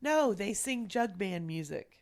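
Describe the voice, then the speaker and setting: Animated woman in casual comic chat. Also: medium pitch, unhurried. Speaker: podcast co-host.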